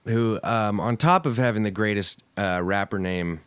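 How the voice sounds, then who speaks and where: A man's voice: fairly low pitch, moderate pace, fairly bright tone, smooth, dry recording. A man from Oregon hosting a casual podcast, talking in a crude, profane and joking way. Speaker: podcast host.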